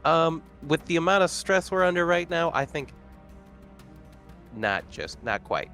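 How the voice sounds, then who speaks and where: A man's voice: fairly high pitch, big pitch swings, a little slow, pushed and bright, faint music behind. A man chatting casually as a player in a tabletop game, talking through his moves.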